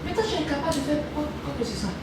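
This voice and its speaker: Guest woman, fast run-on talk, far from mic in a very echoey room with clear noise. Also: high voice, animated. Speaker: guest on a live stream. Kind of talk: casual chat. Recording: distant, echoey and noisy call audio.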